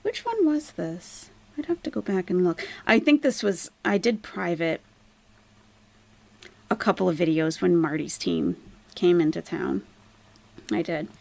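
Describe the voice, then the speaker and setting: A woman's voice: lowish voice, lively intonation, unhurried, vocal fry, pauses often, a little mellow. A woman hosting a casual live commentary stream, explaining things emphatically to viewers and asking rhetorical questions. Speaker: livestream host, YouTube commentator.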